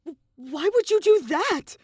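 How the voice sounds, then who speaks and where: A woman acts out a nervous young man in an audio story. The voice is earnest and hesitant, asking questions uneasily, with expressive pitch movement and a dry, close sound. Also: a little slow, dry recording, full character voice. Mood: horrified.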